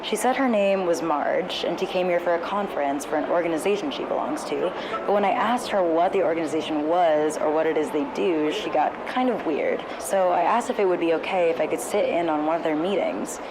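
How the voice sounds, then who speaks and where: Curious young cub reporter played by an actress, low female voice, casual tape notes over urban noise. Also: a little fast, natural voice. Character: eager teenage girl cub reporter recording notes. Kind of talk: casual spoken tape diary. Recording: noisy outdoor tape with street ambience.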